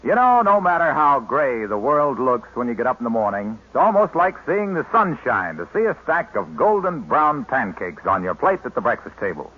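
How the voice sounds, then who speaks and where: An upbeat male radio announcer reading a 1950s commercial. His voice is lively, a bit high and husky. The sound is thin and phone-like, from an old broadcast. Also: moderate pace, hardly any pauses.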